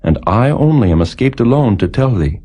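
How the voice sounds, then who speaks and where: Man voicing an urgent servant messenger, raised pitch. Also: very animated, fairly quick, dark tone, husky. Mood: urgent alarm. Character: urgent male servant messenger bearing dire news. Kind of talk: dramatised scripture reading.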